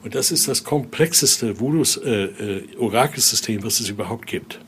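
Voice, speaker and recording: A man giving an informal, halting explanation as a guide, often ending phrases with little questioning tags. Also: medium pitch, fairly bright tone, slight background noise. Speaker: interviewee, exhibit guide and Voodoo expert. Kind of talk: informal spoken explanation during a guided tour.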